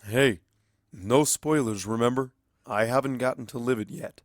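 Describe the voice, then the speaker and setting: An affectionate pony wizard as a male narrator plays him, talking in a casual, halting way at a middle pitch. He is gentle and a little awkward, a storybook figure recorded dry and close. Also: dry recording, lightly acted. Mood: tender.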